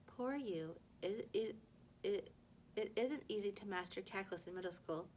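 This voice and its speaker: A woman's voice: mid-range voice, normal speed, darkish tone, a little hiss or hum, natural voice. Woman reading as a dry, sarcastic schoolteacher. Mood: dry, mock-sympathetic. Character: female math teacher, dryly sarcastic, unimpressed.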